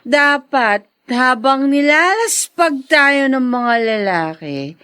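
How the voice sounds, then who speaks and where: Tough young prostitute in a radio drama, high pitched, slow n emotional, defiant. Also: female voice, expressive, pushed and bright, smooth, full character voice. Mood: defiant, hardened. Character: hardened, defiant young woman working in prostitution. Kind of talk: Filipino radio drama acting.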